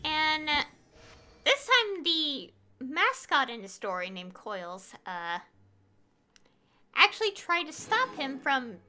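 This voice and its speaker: High, animated, bright-voiced woman streamer. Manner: rambling, retells stories in halting fragments. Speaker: game streamer. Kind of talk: casual livestream chat.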